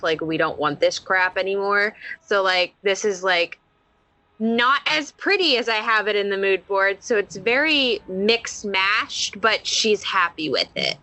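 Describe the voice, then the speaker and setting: Bright-voiced woman chatting through a game. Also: mid-range voice, low-quality recording. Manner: animated, informal, sweary. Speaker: player in a tabletop roleplaying game. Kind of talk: casual improvised game chat among friends.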